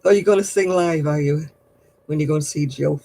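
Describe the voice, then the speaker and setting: Older woman, low husky voice, mellow dark tone, rambling gossipy chat. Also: a little fast. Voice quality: low, husky voice after throat surgery. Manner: rambling, chatty, gossipy with little laughs. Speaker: craft livestream host. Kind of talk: casual chat answering viewer comments.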